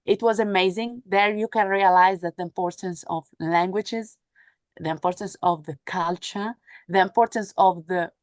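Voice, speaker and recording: A woman whose native language is Arabic, speaking unscripted as a panelist, haltingly searching for words and repeating phrases. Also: on the low side, no room sound. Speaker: panel speaker, humanitarian sea rescue worker. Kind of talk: unscripted panel talk about work experience.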